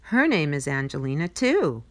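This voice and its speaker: A woman's voice: low-pitched, very animated, normal speed. A woman voicing schoolchildren in a picture book with simple, childlike phrasing. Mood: earnest.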